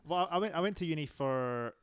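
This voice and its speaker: A man's voice: on the high side, expressive, a little fast, smooth. A man co-hosting a podcast, laid-back and encouraging in casual chat with the guests. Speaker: podcast co-host. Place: home basement.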